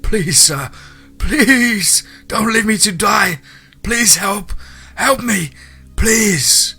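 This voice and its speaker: A man's voice: high voice, expressive, very slow, creaky, bright, forceful tone, slightly rough, soft background music, voice pushed up, exaggerated voice. A man voicing a desperate, tearful man who begs repetitively for his life in a strained voice, in a horror story. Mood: desperate pleading. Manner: repetitive, breathless begging.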